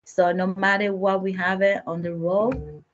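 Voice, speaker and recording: A woman with a Dominican Spanish accent, a native Spanish speaker and small business owner, speaking halting, simple English at a roundtable. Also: mid-range voice, level tone, slight background noise. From Dominican Republic. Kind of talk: roundtable remarks. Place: home, on a video call. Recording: muffled video call audio.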